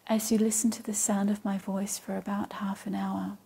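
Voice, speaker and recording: A woman with a soft, breathy voice leading a guided meditation in a calm, measured way with long pauses. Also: medium pitch. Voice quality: soft, breathy, partly whispery. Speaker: yoga nidra meditation guide. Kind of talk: guided meditation, slow and soothing instruction.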